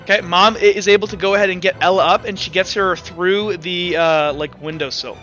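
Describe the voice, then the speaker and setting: A man's voice: sits high, fairly even intonation, brisk, bright, forceful tone. A young man narrating as game master of a tabletop game, rambling with lots of "like" fillers. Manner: rambling, heavy use of "like". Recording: dull lo-fi sound with faint music.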